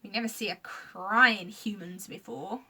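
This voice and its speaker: A woman's voice: mid-range voice, unhurried, pushed and bright, husky, full character voice. Woman doing Grunt, a hissing grumpy creature. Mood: scornful. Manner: hissing, scolding, broken grammar.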